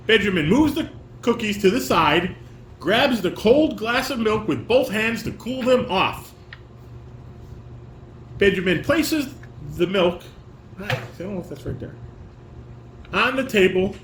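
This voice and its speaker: A man's voice: high voice, animated, measured pace, long pauses, fairly bright tone. A man casually coaching a rehearsal as a father would, reading stage directions aloud and giving corrections. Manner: instructing, repeats and corrects lines. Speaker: father directing a home table read. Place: echoey room at home with fan and air conditioner. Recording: roomy echo, fan and air conditioner noise.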